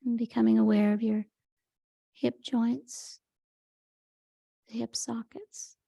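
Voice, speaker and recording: Soft, creaky woman guiding meditation. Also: fairly high pitch, level tone, no echo. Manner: gentle, unhurried, soothing instruction. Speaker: meditation teacher. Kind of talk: guided meditation, Yoga Nidra.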